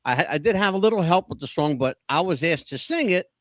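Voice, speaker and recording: A man's voice: fairly high pitch, expressive, quick pace, no echo. Chatty man, dry close sound. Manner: chatty, storytelling, self-deprecating. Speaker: podcast co-host. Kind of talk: casual chat about favorite songs.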